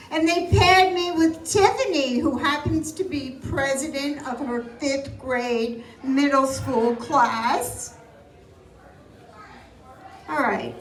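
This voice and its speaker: High-voiced woman doing wry stand-up. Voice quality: loud voice. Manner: wry, self-mocking storytelling. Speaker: stand-up comedian. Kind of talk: live stand-up set. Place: small live venue with audience. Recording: roomy echo, distant mic.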